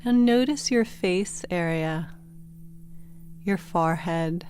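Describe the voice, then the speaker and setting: A woman narrating a guided sleep meditation in a gentle, soothing manner, giving unhurried instructions with pauses between phrases. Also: on the low side, slight background noise. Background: meditation music with singing bowl tones.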